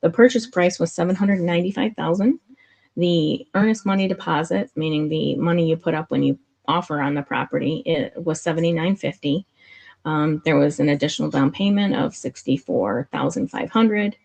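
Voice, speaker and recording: A woman with a low, gravelly, nasal voice talks through a real estate contract on a live stream. She explains each point step by step in a casual way. Her talk is loose, with many "um"s and restarts. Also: normal speed, a little mellow. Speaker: commentary streamer. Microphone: streaming setup mic.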